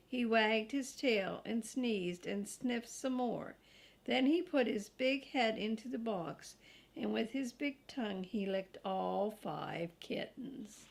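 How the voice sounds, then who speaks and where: An older woman reading a children's storybook aloud, deliberate and grandmotherly, with casual asides to young listeners. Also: on the high side, gravelly. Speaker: storybook reader.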